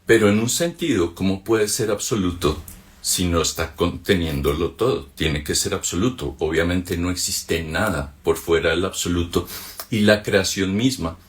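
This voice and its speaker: Deep-voiced Colombian man teaching. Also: normal speed. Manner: conversational, asks rhetorical checks like "ok?" and "mm?". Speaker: spiritual teacher. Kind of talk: reflective spoken commentary on a text.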